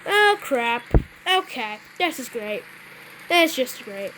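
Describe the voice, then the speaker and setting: A woman's voice: on the high side, very animated, slow, noisy background. A woman role-playing through a game, moving between casual remarks and improvised announcements. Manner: stumbles over read-out wording, often clears throat. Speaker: gamer playing out an emergency alert scenario. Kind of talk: casual gameplay role-play with improvised mock weather bulletins.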